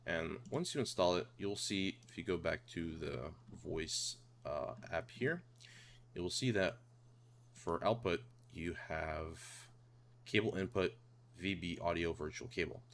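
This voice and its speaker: A man narrating a casual software tutorial, informally explaining things step by step. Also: deep voice, a little slow. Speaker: tutorial host. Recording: clear background noise and hum.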